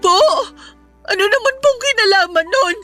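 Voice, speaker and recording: An actress playing an aggrieved young niece in a radio drama, pleading and protesting politely through tears. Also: female voice, extremely high pitch, expressive, normal speed, pushed and bright, faint music behind, exaggerated voice. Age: young adult. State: crying. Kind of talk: radio drama dialogue in Tagalog.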